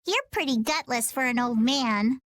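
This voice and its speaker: Woman as cheeky, high-voiced little girl. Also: normal speed, a bit bright, dry recording, natural voice. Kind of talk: video game cutscene acting.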